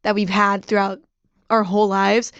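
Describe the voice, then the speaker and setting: A young woman from Mexico, Spanish her first language, speaking English as an interview guest, animated and giggly. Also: medium pitch, moderate pace, smooth, no room sound, highs cut off. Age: young adult. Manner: animated, giggly, acts out past reactions. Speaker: band drummer, interview guest. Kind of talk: casual interview chat.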